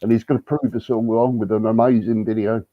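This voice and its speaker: A low-pitched man with a stuffy, hay-fever nose, joking and teasing in playful overstatement. His voice comes through a video call, dry and slightly muffled. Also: little pitch movement, brisk, no room sound.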